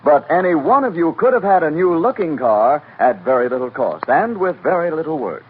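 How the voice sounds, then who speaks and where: A man's voice: a little high, sing-song, a little fast, husky. A man announcing a 1940s radio commercial with salesman's patter. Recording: tinny 1940s radio recording, faint hiss.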